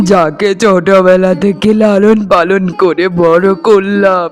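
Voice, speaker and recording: A man voicing a sick, proud, poor father in a melodramatic cartoon, embittered and weary, with pained, drawn-out speech. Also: high-pitched, clean tone. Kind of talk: melodramatic Bengali cartoon voice acting.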